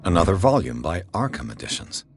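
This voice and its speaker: An actor playing a brooding male investigator in a video game, thinking aloud in a gravelly voice. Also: low-pitched, very animated, a little fast, soft background music, full character voice. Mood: brooding, curious. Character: brooding male investigator examining occult clues.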